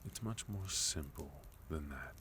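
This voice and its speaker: A man playing a sophisticated, composed villain in an intimate ASMR roleplay, speaking in measured, courteous phrases with soft menace and deliberate pauses. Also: extremely low pitch, animated, slightly rough, full character voice. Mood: courteous, probing. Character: sophisticated, composed male villain, leader of enemy organization.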